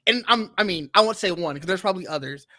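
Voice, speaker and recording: A man's voice: high-pitched, fast talker, sharp tone. A man co-hosting a podcast, talking earnestly and reflectively in casual chat, trailing into fillers. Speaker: podcast co-host.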